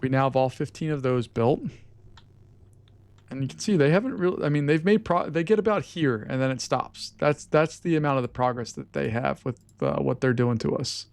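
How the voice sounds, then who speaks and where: A man with a hoarse, fading voice giving casual commentary on his own strategy game, chatting to his units as he plays. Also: mid-range voice, normal speed, a little mellow, no room sound. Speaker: gaming channel host.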